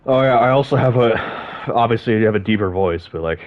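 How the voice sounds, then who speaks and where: Man, low voice, casual gaming chat, joking, swears, laughs a lot, dull lo-fi audio. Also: fast talker. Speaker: gamer. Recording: dull lo-fi sound.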